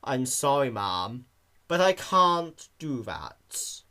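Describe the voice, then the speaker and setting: A man voicing a bored female pegasus desk clerk, monotone and surly. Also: mid-range voice, slow, exaggerated voice. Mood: curt. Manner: monotone, bad attitude.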